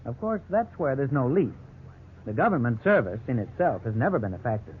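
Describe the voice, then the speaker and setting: A man's voice: mid-range voice, very animated, natural voice. Man acting formal lawyer on old, tinny radio. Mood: patient. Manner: measured, formal, faintly condescending. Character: courteous, stern, formal male lawyer, patient but dry. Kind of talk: 1950s radio drama acting. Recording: old noisy 1950s radio, narrow band.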